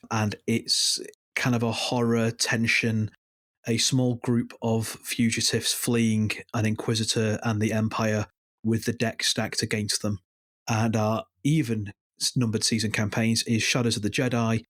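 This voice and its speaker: A man's voice: medium pitch, fairly bright tone, no room sound, studio quality. A man guest on a casual podcast roundtable who describes his show at length in an earnest way.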